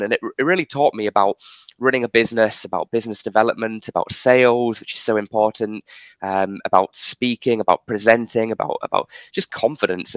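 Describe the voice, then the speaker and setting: A man's voice: lowish voice, no room sound. A man in his twenties with a slight Yorkshire accent, a podcast guest talking casually and self-assuredly, often restarting and repeating words. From Yorkshire, England. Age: 20s. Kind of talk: casual interview talk.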